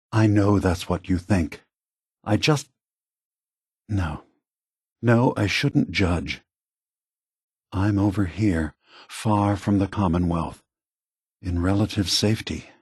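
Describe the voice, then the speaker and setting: An ancient synthetic being who leads with gentle, weary idealism in a video game. The voice is husky and takes its time, halting and choosing words with earnest care. It is recorded very dry and close. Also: male voice, fairly low pitch, no room sound, full character voice. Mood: apologetic, measured. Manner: measured, halting, earnest, diplomatic. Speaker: leader of a synth refuge. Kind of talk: video game character dialogue.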